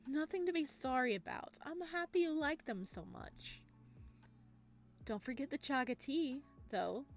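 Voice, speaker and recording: A woman's voice: high-pitched, a little slow, soft background music, voice pushed up. Woman voicing a courteous, teasing male cabin host. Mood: teasing, pleased. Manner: courteous, eager, gently teasing. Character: friendly, teasing man hosting a guest in his cabin.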